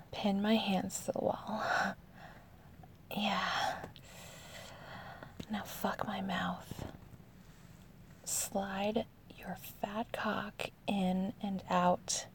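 A woman acting a slow, breathy, whispering lover. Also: medium pitch, slight background noise. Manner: slow, intimate, addressing the listener directly. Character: amorous, eager adult female lover in audio roleplay. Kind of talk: intimate whispered adult ASMR roleplay.

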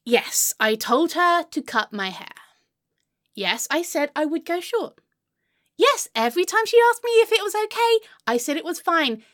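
A woman's voice: very high-pitched, big pitch swings, unhurried, fairly bright tone, heavily acted. A woman playing a melodramatic, irrational, foul-mouthed character in a comedic monologue, histrionic and swinging between sulky muttering and wailing. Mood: stubbornly indignant. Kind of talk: comedic monologue to an unheard friend.

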